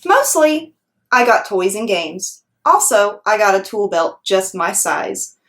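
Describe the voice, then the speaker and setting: A woman voicing a chatty, excitable six-year-old girl telling her storybook tale in an emphatic, blunt, childlike way. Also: medium pitch, slow pace. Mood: excited, proud. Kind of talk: children's storybook read-aloud.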